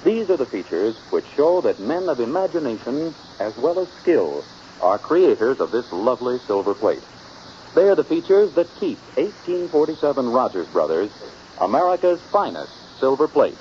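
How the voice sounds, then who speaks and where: Animated male radio announcer, old muffled recording. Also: mid-range voice. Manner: polished, deliberate salesmanship. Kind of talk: 1940s scripted radio announcing and sponsor narration. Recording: dull old radio recording, faint noise.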